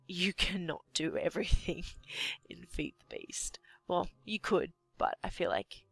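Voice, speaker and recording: A young Australian woman with a slightly croaky voice, half-whispering to herself as she commentates her building game. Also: mid-range voice. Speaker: let's play gamer. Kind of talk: casual gameplay commentary.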